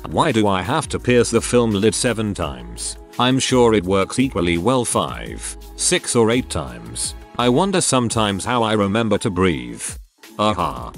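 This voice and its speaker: A man narrates short anecdotes from a script in a fairly low voice. His delivery is animated, with pitch that moves around a lot. Faint music plays underneath the narration. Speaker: narrator reading online forum stories.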